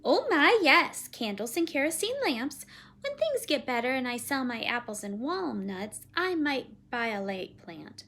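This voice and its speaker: A woman's voice: high voice, sing-song, a little slow, fairly bright tone, pitched above the performer's own voice, heavily acted. A woman voicing a kindly, cheerful countrywoman in a children's story, chatty and reassuring. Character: kindly, cheerful countrywoman Missus Piggle Wiggle.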